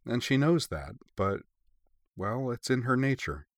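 A man voicing a sombre, reassuring girl in an audiobook story, measured and explanatory. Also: mid-range voice, very animated, no room sound, clean studio sound, voice pushed up. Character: young grown-up-sounding girl, sombre, gentle, reassuring. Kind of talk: audiobook character dialogue.

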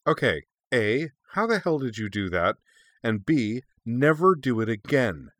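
A man's voice: lowish voice, very animated, moderate pace, a bit bright, no echo. A man voicing a sassy young female dragon in a story, with a sarcastic, snappy, defiant manner. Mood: indignant, sarcastic. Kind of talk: expressive storybook character reading.

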